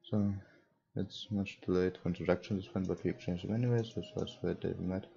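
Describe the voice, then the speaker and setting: A man's voice: sits low, fairly even intonation, brisk, gravelly, dark tone. A young man, not a native English speaker, reading a visual novel aloud with casual, hesitant comments as a gaming host. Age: young adult. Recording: dull lo-fi mic, music behind. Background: game music, mouse clicks.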